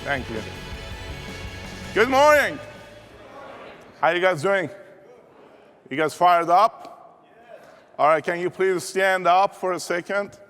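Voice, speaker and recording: Man with a Persian accent, motivational keynote speaker, rallying a crowd, fairly high voice, echoing hall. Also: animated, takes it slowly, pauses often, fairly bright tone, faint music behind. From Iran. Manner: rallying, call-and-response with audience. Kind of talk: energetic keynote speech. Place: large conference hall. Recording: reverberant hall.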